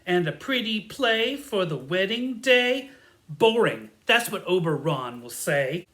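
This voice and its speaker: A woman's voice: on the low side, lively intonation, slow, a bit bright. A woman playing a mischievous, brash trickster fairy who speaks in sing-song rhyme in a comic audio drama. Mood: brash mischief. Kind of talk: theatrical comedy audio drama.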